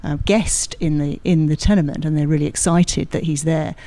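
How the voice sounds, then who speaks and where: Mellow-voiced woman explaining things articulately. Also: low-pitched, steady tone, moderate pace, no echo. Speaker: guest expert, university drama lecturer. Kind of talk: studio interview, informed explanation. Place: radio studio.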